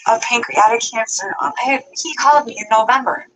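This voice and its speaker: A woman's voice: fairly high pitch, brisk, crowd noise, poor audio. A woman telling a long personal story, rambling and adding details as she goes. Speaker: vlogger telling her story.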